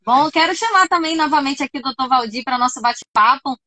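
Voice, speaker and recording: A woman's voice: high voice, lively intonation, quick pace, sharp tone. A woman hosting a live radio talk show, passing questions to her guests. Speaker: radio host.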